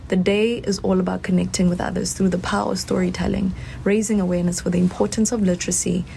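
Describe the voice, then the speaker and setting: A woman delivering scripted explainer narration in an even, informative style. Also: on the low side, fast, gravelly. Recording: faint background noise.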